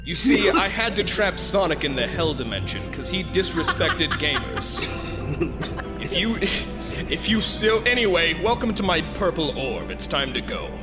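A performer voicing a boastful, goofy male hedgehog villain in a comedic fan dub, heard over loud music. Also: mid-range voice, very animated, moderate pace, close to an everyday voice. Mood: smug. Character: smug male hedgehog villain, self-declared gamer.

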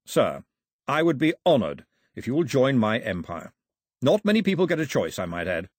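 A man's voice: medium pitch, very animated, normal speed, breathy edge, no room sound, studio quality. A man voicing a genial, plummy and pompous middle-aged king who is easily bewildered, in an audiobook story. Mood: regal.

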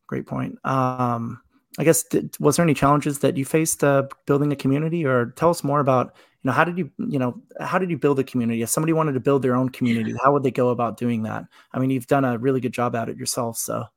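Quick, gravelly male webinar host, affirming, filler-heavy, dry close sound. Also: medium pitch, steady tone, no echo. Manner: affirming, rambling with "you know" fillers. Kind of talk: casual webinar interview.

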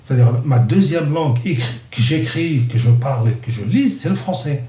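Older algerian-born guy, kabyle first language, lots of ums and restarts, mellow voice, echoey room. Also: mid-range voice, lively intonation, normal speed. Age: 60s. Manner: hesitant, many fillers and restarts. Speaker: interviewee, the host's father. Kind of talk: casual interview.

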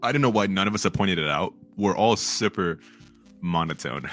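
A man co-hosting a casual podcast, with a very deep voice, a fairly monotone delivery and frequent laughter. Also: moderate pace, soft background music. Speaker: podcast co-host. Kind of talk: casual chat.

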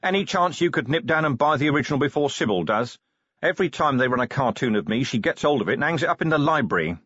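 A man voicing a grumpy, sardonic police commander in an audiobook, with a gruff, dry, sarcastic manner. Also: medium pitch, expressive, fast, a bit bright, no room sound, muffled recording, pitched above the performer's own voice. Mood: weary, exasperated. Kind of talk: audiobook character voice.

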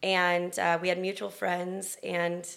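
A middle-aged American woman speaks in a fairly low, gravelly register. She talks as a guest in a casual interview, chatty and pausing to find her words as she tells her story. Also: monotone, a bit bright. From Florida and Texas, then Long Beach, California. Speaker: interview guest, restaurant owner.

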